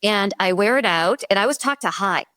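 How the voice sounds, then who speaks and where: Animated woman from the Midwest. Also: mid-range voice, moderate pace, no echo, studio quality. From American Midwest. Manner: animated storytelling. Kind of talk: conversational interview.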